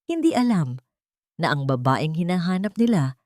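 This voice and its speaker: A woman's voice: fairly low pitch, very animated, moderate pace, a little mellow, no room sound, clean studio sound. A woman with a Filipino accent reading a scripted, dramatic audio story as the storyteller.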